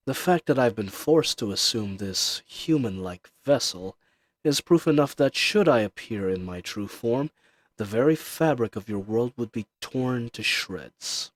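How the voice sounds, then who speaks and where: A man's voice: medium pitch, dry recording, natural voice. A man voicing an ancient, powerful dragon in human form, speaking in a grandiose, condescending and seductive way in a roleplay audio drama. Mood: mocking, proud. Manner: slow, grandiose, formal, condescending, with drawn-out pauses. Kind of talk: scripted roleplay audio drama addressed to the listener. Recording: slight fuzzy microphone hiss.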